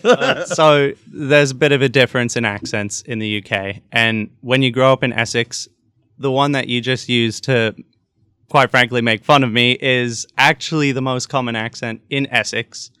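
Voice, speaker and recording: A man's voice: medium pitch, moderate pace, pushed and bright, clear, resonant tone, no room sound, studio quality. A young man from England, an intern who now talks with a Midwestern American accent, chatting casually with many fillers and restarts. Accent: Midwestern American, can switch to English. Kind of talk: casual chat.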